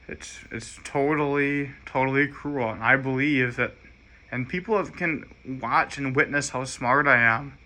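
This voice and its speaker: American man, very bright forceful voice. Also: medium pitch. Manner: earnest, aggrieved. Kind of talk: personal monologue to camera.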